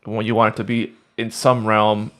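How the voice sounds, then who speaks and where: A man co-hosting a podcast, chatting casually in halting sentences with restarts and fillers. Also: fairly low pitch, completely flat delivery, a bit bright. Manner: halting, restarts, many "like"s and "you know"s. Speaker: podcast co-host. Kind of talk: casual chat.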